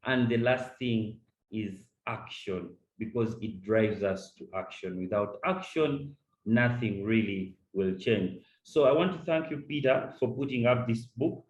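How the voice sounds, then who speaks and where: A man's voice: on the low side, a little slow, roomy sound. A man from Uganda speaking earnestly as a discussant on a webinar call, often repeating words as he starts a point. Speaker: panel discussant. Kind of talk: webinar remarks. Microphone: video call.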